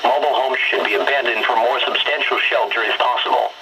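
A synthetic man's voice reading a formal automated weather bulletin in a flat, measured way through a radio speaker. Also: medium pitch. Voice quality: synthetic, robotic. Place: played from weather radio on wooden desk. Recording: very noisy weather radio, re-recorded in room.

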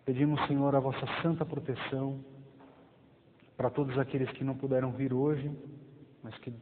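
A man's voice: medium pitch, little pitch movement, moderate pace. Thin-sounding man speaking in an echoing hall. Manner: prays softly with eyes closed, then lectures with rising emphasis and tag questions. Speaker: lay formation speaker. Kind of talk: opening prayer, then church formation talk. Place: small parish hall with plain walls. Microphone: lapel mic. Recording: reverberant hall, thin phone-like sound, faint hiss.

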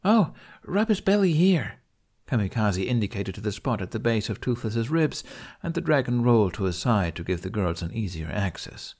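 A man's voice: on the low side, a little fast, darkish tone. A man reading a story aloud as an audiobook narrator in a scripted storytelling style.

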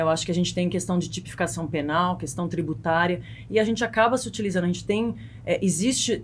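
Brazilian woman explaining, rumbly background noise. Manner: explanatory, peppered with tag questions like "né" and "tá". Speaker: guest legal expert. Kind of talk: conversational expert explanation on a podcast. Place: small foam-padded podcast studio. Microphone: close studio mic on boom arm.

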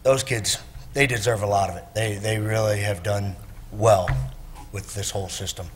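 A middle-aged man speaking into a podium microphone in a council chamber. His voice is low and his speech is plain and halting. A faint background noise sits under him. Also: steady tone. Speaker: city employee being honored.

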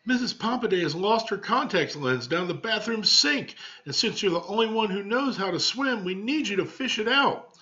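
Man putting on a high, gleefully morbid little girl beetle. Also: lively intonation, normal speed, few pauses, bright, forceful tone, lo-fi sound. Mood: urgent.

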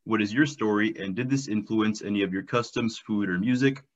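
A man with a low voice moderates an online panel, reading questions formally. The video call audio sounds dull and muffled. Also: fairly quick.

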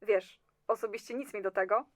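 A woman's voice: medium pitch, normal speed, no room sound, clean studio sound, close to an everyday voice. A woman playing a chatty, nonchalant and slightly condescending office clerk in an audio drama. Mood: matter-of-fact, evasive. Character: breezy, self-serving female office clerk in communist-era Poland.

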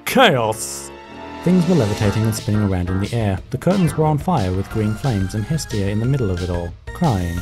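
A man narrating a scripted story in an expressive, dramatic way. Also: lowish voice, normal speed, music in the background.

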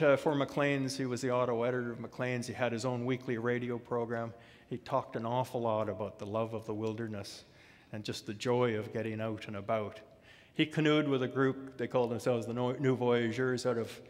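An older man tells a story to a hall, speaking into a headset mic and often pausing to restart his sentences. His voice sits at a mid pitch. A long reverb from the large room trails behind his words. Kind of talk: storytelling talk. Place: dark auditorium stage.

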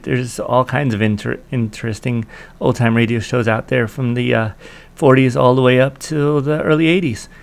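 Casual male American host, forties, mid-pitched with vocal fry, unscripted and halting. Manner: halting, thinking aloud. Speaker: online host of old-time radio shows. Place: small room indoors.

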